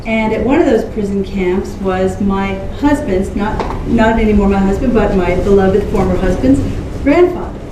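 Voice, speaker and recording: A woman's voice: medium pitch, normal speed, hardly any pauses. A middle-aged or older woman, a guest author, speaking informally to a live audience. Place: indoor museum event room with audience. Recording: echoey, noisy room, distant microphone.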